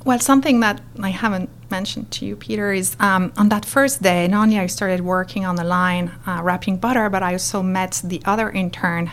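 A woman's voice: mid-range voice, brisk, few pauses, slight background noise. A middle-aged woman from France with a French accent, animatedly telling her story as an interview guest. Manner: animated, expressive, acts out quotes.

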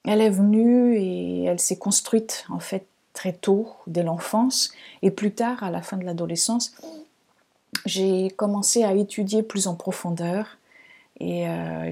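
A woman from Portugal with a Portuguese accent, speaking French as a second language in a reflective, measured podcast monologue, pausing between phrases. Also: on the low side, warm tone, slightly rough. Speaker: podcast host and astrologer.

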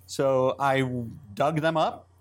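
A man's voice: medium pitch, fairly even intonation, smooth. Middle-aged man, Hebrew native. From Israel. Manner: fast, restarting, repeats words. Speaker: entrepreneur guest. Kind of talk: interview conversation.

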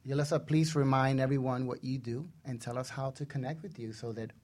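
A man's voice: mid-range voice, steady tone, a little fast, a little mellow. A middle-aged man co-hosting a casual radio talk show, speaking earnestly and repeating points for emphasis.

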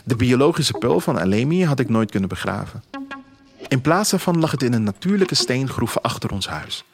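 A man narrating a scripted first-person story in a measured, literary way. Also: mid-range voice, very animated. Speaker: author reading own story as narrator. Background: music.

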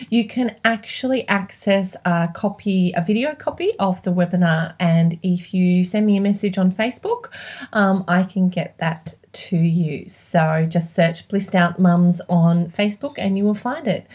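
Female host, medium pitch, informal solo coaching presentation. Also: darkish tone. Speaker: podcast host and parenting coach.

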